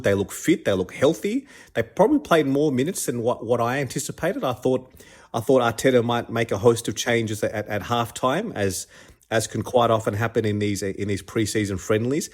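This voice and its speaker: Male podcast host, solo commentary, slightly low voice, stuffy with a cold, eager and full of stumbles. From Australia. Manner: enthusiastic, many restarts and repeated words.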